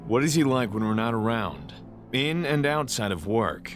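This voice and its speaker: A man's voice: medium pitch, sing-song, normal speed, faint music behind, lightly acted. A man playing a blunt, suspicious police inspector in an audio crime drama. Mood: businesslike, suspicious.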